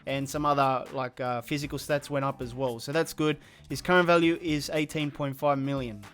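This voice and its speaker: A man's voice: medium pitch, fairly even intonation, moderate pace, a bit bright, faint music behind. A man hosting a gaming channel with a slight sore throat, running through update stats in a casual, matter-of-fact way. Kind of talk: video game update rundown commentary.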